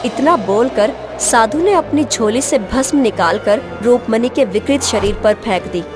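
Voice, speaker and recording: A woman's voice: on the high side, lively intonation, unhurried. A woman storyteller giving scripted, dramatic narration of a fairy tale. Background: background music.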